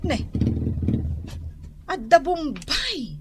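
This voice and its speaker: A woman's voice: high voice, big pitch swings, extremely slow, background noise, full character voice. A woman playing an anxious older sister with a scolding streak in a radio drama. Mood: calm. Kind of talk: radio drama acting in Ilocano and Tagalog.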